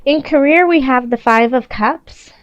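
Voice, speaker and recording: Higher-voiced woman, reassuring, dull dry recording. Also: a little slow, smooth, no echo. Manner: reassuring, encouraging. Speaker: tarot reader. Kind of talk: casual, improvised tarot card reading to camera.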